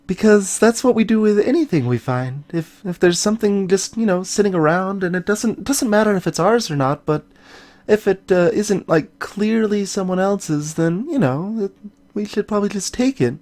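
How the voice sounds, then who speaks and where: A woman's voice: mid-range voice, expressive, normal speed, a little mellow, lightly acted. A woman voicing a perky, curious young female sidekick in video game dialogue, chatty and eager. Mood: breezy.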